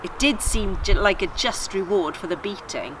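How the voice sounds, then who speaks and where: Woman voicing a sardonic, animated talking donkey. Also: mid-range voice, moderate pace, background noise, close to an everyday voice. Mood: wry, aggrieved. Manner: wry, chatty, expressive storytelling. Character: sardonic, aggrieved talking female donkey telling her tale. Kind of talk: children's story read aloud.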